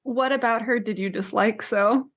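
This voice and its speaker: A woman co-hosting a podcast, discussing books casually in a measured, questioning way. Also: fairly high pitch, a bit bright. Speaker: podcast co-host.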